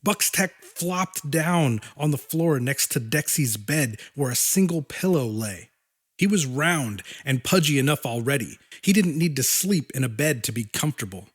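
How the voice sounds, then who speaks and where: Man narrating dramatically, medium pitch. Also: animated, moderate pace, breathy edge. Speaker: audiobook narrator. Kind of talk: scripted dramatic horror story narration.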